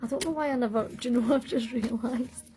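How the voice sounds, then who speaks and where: A woman's voice: fairly high pitch, brisk, dark tone, slight background noise. A young woman with a Scottish accent, hosting a casual livestream from home, chatting in a rambling way with lots of fillers and self-corrections while answering viewers' comments. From Scotland. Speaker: livestream host. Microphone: phone.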